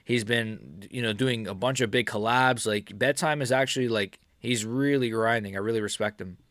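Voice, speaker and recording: A man's voice: on the low side, normal speed, a bit bright, no room sound, clean studio sound. A man in his early twenties from the United States, chatting casually on a live stream, rambling with lots of fillers and laughs. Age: 20s. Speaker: MMA YouTuber streaming live. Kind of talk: casual live-stream chat reading chat questions.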